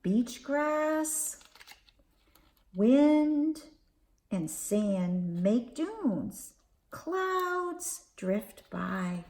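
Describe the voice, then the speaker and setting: A woman hosting a children's storytime, reading aloud and leading counting rhymes in a slow, expressive, sing-song way. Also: fairly high pitch. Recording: echoey room with faint background hiss.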